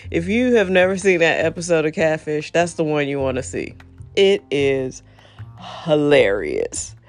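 A woman's voice: deep voice, expressive, measured pace, soft background music. A middle-aged woman hosting her own show, talking off the cuff with frequent laughs and wandering asides. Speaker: podcast host. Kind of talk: unscripted solo talk.

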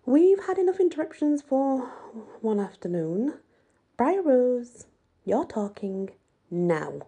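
A woman's voice: on the high side, very animated, takes it slowly, mellow, breathy edge, voice pushed up, exaggerated voice. A woman voicing a stern, impatient, demanding woman who leads a teen therapy group in an audiobook. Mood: stern, exasperated. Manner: probing, demanding.

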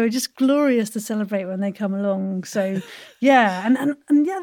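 A woman's voice: medium pitch, darkish tone, dry recording, clean studio sound. A woman hosting a chatty interview podcast in an effusive, giggly manner.

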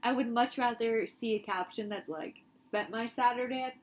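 A young woman co-hosting a casual chat podcast, animated and emphatic, laughing often. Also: a little high, moderate pace. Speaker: podcast co-host.

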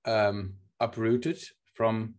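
A man's voice: on the low side, little pitch movement, extremely slow, darkish tone. A man from the Netherlands with a Dutch accent, a native Dutch speaker, hosting a podcast in earnest, searching talk with frequent fillers. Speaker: podcast host. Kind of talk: reflective podcast discussion.

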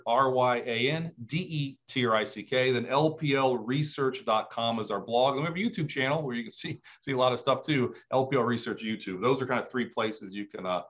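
Male financial strategist, interview guest. Mid-pitched, conversational, self-deprecating, with halting restarts; slightly dull audio. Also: a bit bright. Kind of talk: unscripted financial interview talk.

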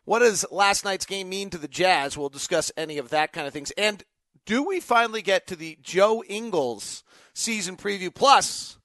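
A man's voice: high voice, moderate pace, fairly bright tone, no room sound. An American man hosting live sports commentary, with an emphatic, conversational announcer's delivery. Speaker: sports podcast host; radio play-by-play announcer.

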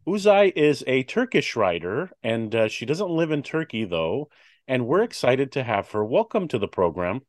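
A man's voice: mid-range voice, sing-song, moderate pace, no room sound. A man hosting a public affairs talk show, chatty with many fillers and restarts. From United States. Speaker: host.

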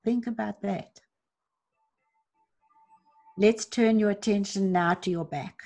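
A woman with a gravelly, slightly fried voice guides a meditation at a very slow, unhurried pace. She asks gentle reflective questions and leaves long pauses, like a coach talking parents through their stress. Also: medium pitch, faint music behind.

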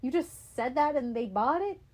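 A woman's voice: high voice, lively intonation, moderate pace, darkish tone. A woman voicing a loyal, eager teenage boy who speaks earnestly.

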